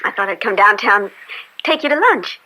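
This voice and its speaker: An actress playing a devoted, anxious young daughter in a radio drama, speaking hesitantly and pleadingly. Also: female voice, mid-range voice, expressive, moderate pace, rough recording. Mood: sweet. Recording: bright old-time radio recording.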